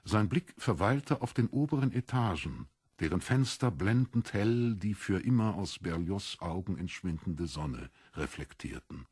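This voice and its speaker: A man narrating a literary story as a scripted audiobook, with measured, deliberate storytelling. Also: deep voice, expressive, a little mellow, breathy edge, no room sound, studio quality.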